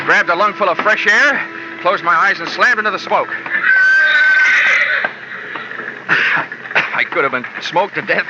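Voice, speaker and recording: An actor playing a wry, cynical insurance investigator in a 1940s radio detective drama, with clipped delivery. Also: male voice, fairly high pitch, close to an everyday voice. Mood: urgent. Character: wry hard-boiled male insurance investigator, cynical and tough. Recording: muffled old radio recording, music behind.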